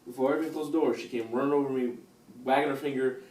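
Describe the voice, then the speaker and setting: A man's voice: mid-range voice, steady tone, fast talker, a little mellow, lightly acted. A man playing a defensive sixteen-year-old son in family therapy, in an amateur role-play. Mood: exasperated, argumentative. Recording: roomy, distant mic with faint noise.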